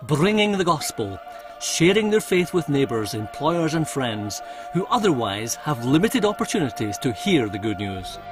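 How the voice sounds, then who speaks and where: A man's voice: a little high, very animated, moderate pace. Earnest male documentary narrator. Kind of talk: scripted narration. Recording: music bed underneath.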